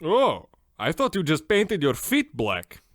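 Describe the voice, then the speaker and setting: A man plays a haughty shoemaker from an old-time radio comedy. His fairly high voice swoops up and down with theatrical pomp, recorded close and dry. He sells bluntly and grandly. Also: wildly swooping pitch, slow pace, dry recording, heavily acted. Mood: puzzled, accommodating. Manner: pompous, theatrical, swooping delivery.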